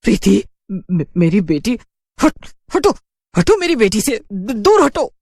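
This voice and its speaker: A woman's voice: medium pitch, big pitch swings, slow pace, a little mellow, no echo, clean studio sound, voice pushed up, full character voice. Woman playing an anxious, fretful, protective mother. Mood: alarmed, protective. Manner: fretful, urgent. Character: anxious protective middle-aged mother of grown daughter. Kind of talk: Hindi horror cartoon dubbing.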